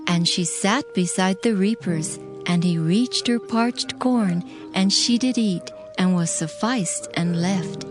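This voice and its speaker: A woman reading scripture as a narrator in a measured, formal storytelling manner. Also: mid-range voice, over music.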